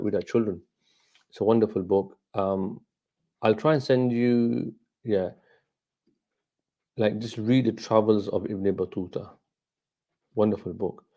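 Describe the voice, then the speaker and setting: A middle-aged man whose mother tongue is Gujarati, talking informally as a scholar answering questions, in a halting, reflective way that repeats phrases. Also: lowish voice, warm tone, lo-fi sound. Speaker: Islamic scholar hosting a question and answer session.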